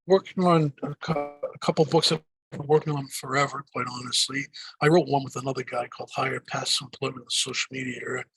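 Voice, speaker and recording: An older man with a deep Boston accent, answering interview questions in a rambling way, with many fillers and restarts. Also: vocal fry, sharp tone, husky, no room sound, low-quality recording. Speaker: guest.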